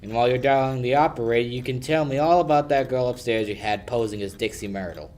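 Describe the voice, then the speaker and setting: A man's voice: medium pitch, quick pace, darkish tone, close to an everyday voice. A young man playing a tough, wry lawyer in a hard-boiled 1940s radio play. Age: young adult. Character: tough wisecracking male lawyer, suspicious and dry.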